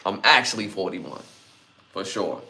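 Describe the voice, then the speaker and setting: A man's voice: sits low, animated, unhurried, pushed and bright. A man in his forties hosting a podcast, talking straight to his live audience with emphatic, repeated appeals. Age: 40s. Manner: emphatic, repeats himself, talks to chat. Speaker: podcast host. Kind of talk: solo podcast commentary.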